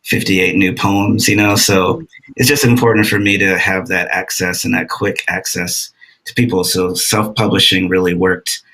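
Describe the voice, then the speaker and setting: A man talks on a writers' panel in a loose, hesitant way, stopping often to reach for his words. His voice is low and flat with a gravelly fry, and a bright, tense edge in the tone. It sounds like casual, unscripted talk. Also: moderate pace, poor audio. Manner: hesitant, many ums, restarts. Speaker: writer on a book festival panel.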